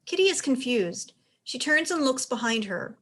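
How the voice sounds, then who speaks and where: A woman's voice: high-pitched, lively intonation, normal speed, a bit bright. A woman giving scripted narration, reading out the stage directions of a play.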